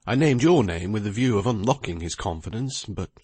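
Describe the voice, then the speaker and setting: A man's voice: on the low side, animated, darkish tone, dry recording. A man voicing a busy middle-aged lawyer who speaks in a precise, emphatic way. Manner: precise, emphatic, talks quickly.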